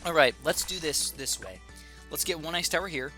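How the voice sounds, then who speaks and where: A young man with a slightly raspy, sore throat, chatting excitedly while thinking aloud through commentary on his own gameplay. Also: on the high side, normal speed, faint music behind. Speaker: gaming YouTuber.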